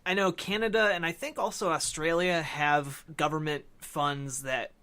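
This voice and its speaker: Man, fairly high pitch, very bright forceful tone, podcast co-host, explanatory, casual. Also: steady tone, normal speed. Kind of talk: casual film discussion.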